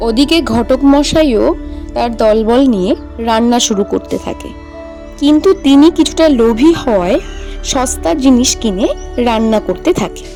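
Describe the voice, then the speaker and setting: A woman's voice: on the high side, lively intonation, moderate pace, warm tone, soft background music. A woman narrator reading a scripted children's story.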